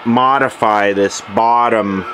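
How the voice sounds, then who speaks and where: Man demoing an old shortwave set, slow plain delivery, lowish voice, noisy room. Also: steady tone. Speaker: radio collector demonstrating a receiver. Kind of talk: casual show-and-tell.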